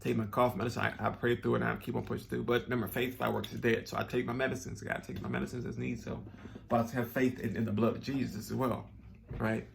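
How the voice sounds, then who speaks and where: A man speaks to his viewers in an earnest, rambling way, restarting sentences and leaning on fillers. His voice is gravelly with heavy fry and sounds a little nasal and stuffed up. His pitch sits in an ordinary middle range, with a faint hiss behind him. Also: flat delivery, fast. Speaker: vlogger. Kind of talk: casual personal talk to camera.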